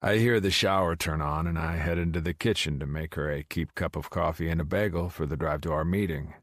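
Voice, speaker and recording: A man's voice: a bass voice, fairly quick, no room sound, clean studio sound. A man narrating a first-person romance audiobook.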